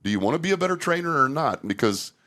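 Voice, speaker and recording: A man's voice: fairly high pitch, very animated, quick pace, bright, forceful tone. An older man hosting a casual podcast conversation, speaking candidly as an experienced safety trainer.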